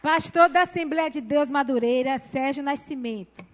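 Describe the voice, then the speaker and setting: Woman emcee, high voice, formal announcements, crowd murmur and noise behind. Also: moderate pace. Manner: formal, reads out titles and names. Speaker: ceremony presenter.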